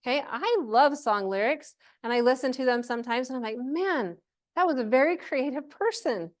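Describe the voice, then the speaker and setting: High-voiced woman presenting a workshop. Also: animated, moderate pace. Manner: chatty, encouraging, checks in with questions and "right?". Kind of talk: informal conference presentation to a live group.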